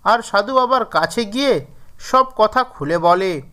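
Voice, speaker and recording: A man's voice: high voice, animated, measured pace, pushed and bright. A man narrating a scripted children's story as the storyteller.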